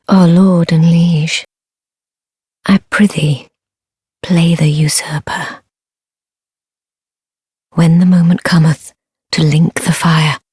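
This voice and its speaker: An actress playing a solemn, courtly woman of a dark order in a fantasy game, quietly menacing, with breathy, stately, archaic speech. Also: low voice, no room sound, clean studio sound, exaggerated voice. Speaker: video game voice actor. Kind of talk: archaic scripted game dialogue.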